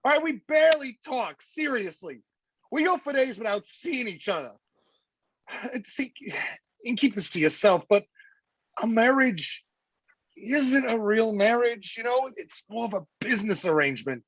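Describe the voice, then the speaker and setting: An actor playing a cowardly, wisecracking husband and crook who stammers nervously and indignantly in a comic noir staged reading. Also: male voice, extremely high pitch, lively intonation, a little slow, fairly bright tone, husky, no echo, heavily acted, poor audio. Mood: flustered.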